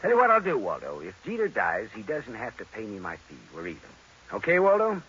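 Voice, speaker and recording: An actor playing a tough, sardonic American private detective in 1940s radio crime drama style, with a needling, clipped delivery. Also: male voice, lowish voice, wildly swooping pitch, fairly quick, fairly bright tone, breathy edge, heavily acted. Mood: sardonic, taunting. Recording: muffled vintage radio recording with music.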